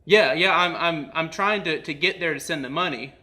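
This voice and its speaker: A man's voice: on the high side, moderate pace, sharp tone. A man hosting a comedy show, talking in casual banter and prank-call style with a mock-earnest manner.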